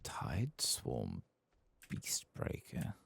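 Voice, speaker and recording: A soft-spoken man with an extremely deep voice and heavy vocal fry hosts a gaming ASMR session. He talks in a casual, understated, musing way, with his pitch moving freely as he comments on play. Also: huge pitch swings, very slow.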